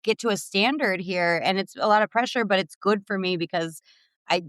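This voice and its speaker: A woman's voice: medium pitch, normal speed, fairly bright tone, dry recording, studio quality. A woman in her late thirties co-hosting a podcast, candid and casual, swearing now and then and trailing off. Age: late 30s. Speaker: podcast co-host, theater teacher. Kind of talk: casual chat.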